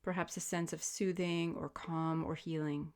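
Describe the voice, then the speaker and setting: Low-voiced woman guiding a meditation. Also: flat delivery. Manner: soothing, gentle, measured invitations with pauses. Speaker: yoga nidra meditation teacher. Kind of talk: guided sleep meditation, spoken instruction.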